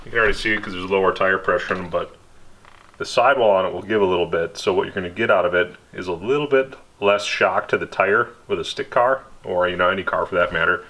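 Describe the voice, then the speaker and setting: A man's voice: sits low, quick pace, sharp tone. A man explaining hands-on car repair in a casual, conversational way, as a vlogger in his garage. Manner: informal, conversational. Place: indoor garage workshop. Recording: noisy garage with background hum.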